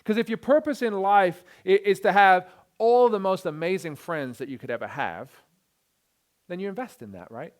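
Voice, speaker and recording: A man preaching a sermon as pastor, energetic and given to repeating his words as he starts sentences. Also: sits high, moderate pace.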